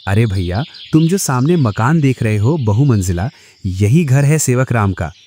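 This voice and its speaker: A man's voice: medium pitch, lively intonation, a little slow, dark tone, a little hiss or hum, natural voice. A man playing a helpful, gossipy villager in a cartoon moral story, with a folksy, chatty manner. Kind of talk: Hindi animated moral story dialogue.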